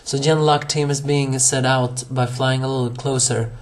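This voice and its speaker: A hoarse young man reviewing an anime episode on his own, rambling with many fillers, restarts and self-corrections. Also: mid-range voice, little pitch movement, moderate pace.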